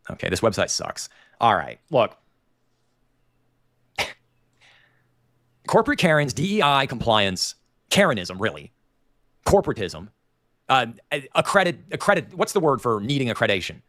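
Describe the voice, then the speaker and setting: Man, nasal voice, Dayton Ohio Appalachian accent, opinionated livestream rant, lively swings in pitch, dry close sound. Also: no echo. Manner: opinionated, digressive, animated ranting. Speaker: livestream host. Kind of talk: rambling livestream commentary.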